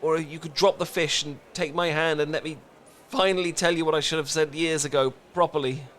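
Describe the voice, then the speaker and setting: A man's voice: a little high, fairly even intonation, brisk, fairly bright tone, slight background noise, close to an everyday voice. A man with a British accent playing a flustered, lovestruck best friend in a romantic comedy audio roleplay, stammering and earnest. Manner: stammering, rambling, earnest confession.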